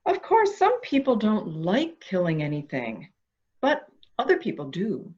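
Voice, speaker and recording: An actress plays a calculating woman in an amateur mystery drama, coaxing and deliberate. Her pace is slow and her pitch swings widely. The recording is slightly dull and lo-fi. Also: wildly swooping pitch, a little mellow. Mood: coaxing, goading. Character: calculating woman coaxing a dangerous childlike man. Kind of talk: amateur radio-play acting.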